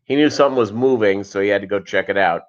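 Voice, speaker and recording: A man's voice: fairly low pitch, quick pace, lo-fi sound. A man hosting a casual livestream, rambling along as he reads out and answers viewer comments. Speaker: livestream host of a fishkeeping channel. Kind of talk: casual live chat with viewers.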